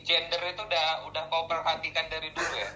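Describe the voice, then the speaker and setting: A man's voice: fairly high pitch, little pitch movement, quick pace, bright, forceful tone. A man co-hosting a casual podcast chat, teasing and joking with the others. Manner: teasing, jokey. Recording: echoey room, faint noise.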